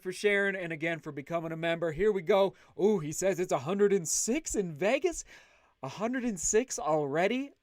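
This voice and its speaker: A man hosting a casual livestream, chatting upbeat and loud while reading out viewer comments. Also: high-pitched, no echo, clean studio sound. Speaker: livestream host.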